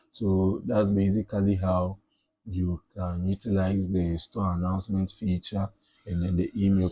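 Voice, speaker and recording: Male, very mellow dark tone, slightly husky, casual tutorial narration, repetitive check-ins. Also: sits low, no echo. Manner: repeats himself, frequent "okay" and "all right" check-ins. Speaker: tutorial presenter.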